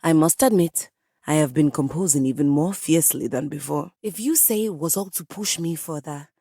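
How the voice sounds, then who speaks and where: A woman's voice: low-pitched, normal speed, mellow, husky, no echo, clean studio sound. A woman playing a passionate piano come to life in a video game, a haughty, dramatic composer with florid, formal diction. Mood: wounded, haughty. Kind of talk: video game character voice acting.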